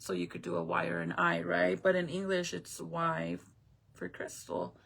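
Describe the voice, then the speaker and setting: A woman's voice: fairly low pitch, level tone, moderate pace, creaky, a bit bright, husky. A woman whose first language is Spanish, chatting casually with her viewers on a live stream, telling stories and swearing freely.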